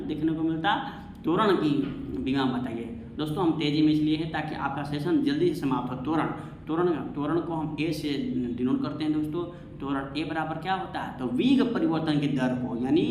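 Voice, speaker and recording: A man teaching an exam-prep science lesson, repeating himself and asking questions that he answers himself. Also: on the high side. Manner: repetitive, asks and answers own questions. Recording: echoey room, faint background noise.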